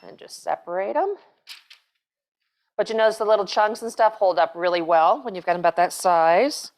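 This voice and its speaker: A woman's voice: medium pitch, expressive, moderate pace. A middle-aged woman hosting a cooking demonstration in a home kitchen, talking casually and rambling with fillers and restarts on a lapel mic. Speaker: cooking show host, chef.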